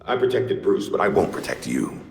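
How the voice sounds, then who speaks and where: Menacing middle-aged commander, very low swooping voice, clipped threats, game villain. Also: male voice, sing-song, fairly quick, slight background noise. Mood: stern, threatening. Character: menacing older male villain, commanding military uncle. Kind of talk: dramatic video game cutscene acting. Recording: echoey hall with music behind.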